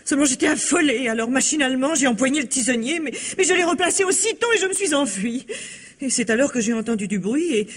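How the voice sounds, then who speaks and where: Casting: flustered society woman, slightly husky, nervous protests, vintage radio mystery. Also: high-pitched, lively intonation, fast talker. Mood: panicked pleading. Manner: hesitant, stammering, protesting. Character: flustered, pleading society lady under suspicion.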